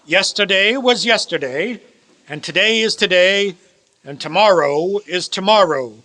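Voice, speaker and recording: Man acting as old reformed miser, high sharp voice, theatrical, emphatic, formal radio play delivery. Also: measured pace. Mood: mock stern. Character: elderly reformed miser uncle and boss, grandly merry.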